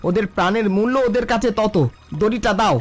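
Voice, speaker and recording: A man's voice: high-pitched, fairly quick, soft background music, natural voice. A man playing a brave, selfless village father and riverman in a cartoon, firm and urgent. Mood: urgent, determined. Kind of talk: cartoon dialogue.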